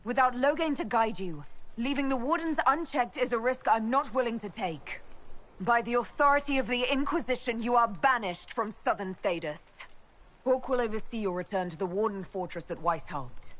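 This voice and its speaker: An actress playing a commanding, resolute leader, speaking in a measured, formal way in a video game. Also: female voice, a little high, lively intonation, a bit bright. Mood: stern. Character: commanding female Inquisitor, composed, resolute leader.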